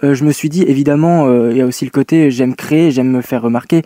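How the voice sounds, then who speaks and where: A young man in his twenties, talking casually in an interview and often pausing with fillers. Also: medium pitch, warm tone, no echo, clean studio sound. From France. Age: 20s. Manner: hesitant, many fillers and restarts. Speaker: guest, young filmmaker.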